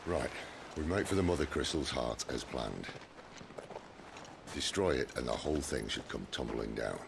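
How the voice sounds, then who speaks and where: An older rebel mentor in a video game, with a very deep voice that swings freely. He is wry and breezy, with noisy ambience behind him. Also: lively intonation, moderate pace, gravelly, exaggerated voice. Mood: resolute, wry. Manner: wry, breezy, mock-philosophical. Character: roguish, cheerful older mentor and rebel leader.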